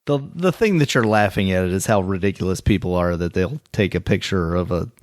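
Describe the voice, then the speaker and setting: A man's voice: low-pitched, a little fast. A man co-hosting a gaming podcast in casual chat, joking and teasing his co-host.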